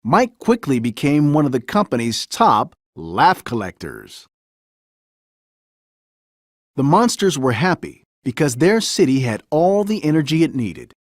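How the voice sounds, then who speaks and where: A man reading a children's story aloud as scripted narration, with an expressive, dramatic storytelling delivery. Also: fairly high pitch, moderate pace, few pauses, no room sound, studio quality. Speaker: storybook reader.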